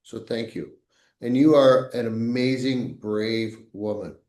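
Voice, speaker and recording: A man co-hosting a podcast, talking in a casual, halting way as he searches for his words. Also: mid-range voice, darkish tone. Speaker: podcast co-host. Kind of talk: casual conversation. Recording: roomy echo.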